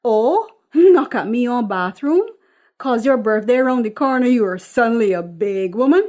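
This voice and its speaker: A woman's voice: on the high side, animated, moderate pace, darkish tone, close to an everyday voice. Woman acting brash, nagging older mother. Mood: teasing. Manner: nagging, dismissive, colloquial. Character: brash, teasing sixty-year-old Caribbean mother.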